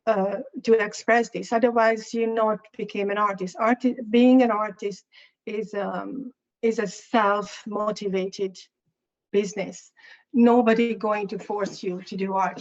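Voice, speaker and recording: A woman with a Hungarian accent and Hungarian as her first language, an artist speaking in an interview, halting and thoughtful with many restarts. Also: mid-range voice, lo-fi sound. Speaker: guest artist. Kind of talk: interview, reflective talk.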